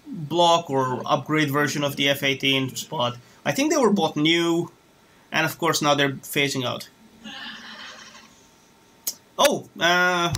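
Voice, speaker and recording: A man's voice: a little high, bright, forceful tone, a little hiss or hum. A man from Romania chatting casually on a live stream while flying a simulator, rambling and restarting his sentences. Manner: rambling, hesitant, many restarts. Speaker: flight sim streamer.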